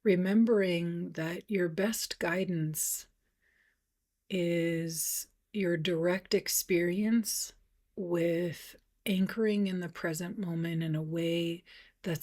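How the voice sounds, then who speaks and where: A woman meditation teacher leading a guided practice, speaking gently and softly with unhurried pauses, at times breathy. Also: lowish voice, fairly even intonation.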